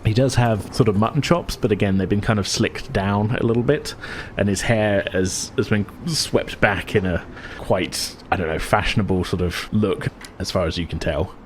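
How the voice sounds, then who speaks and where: A man's voice: on the low side, moderate pace, few pauses, slight background noise. A man acting as game master, improvising descriptive narration for a tabletop game in a hesitant, searching way.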